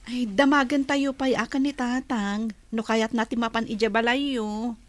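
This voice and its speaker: A woman's voice: on the high side, steady tone, normal speed, dry recording, lightly acted. A woman playing a concerned, practical member of a household in a radio drama.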